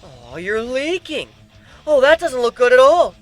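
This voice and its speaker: Man doing a giddy, high-pitched berserk griffin girl. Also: lively intonation, no echo, soft background music. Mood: playful, menacing. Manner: singsong, drawn-out, deranged innocence. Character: berserk female griffin, giddy, childlike, bloodthirsty.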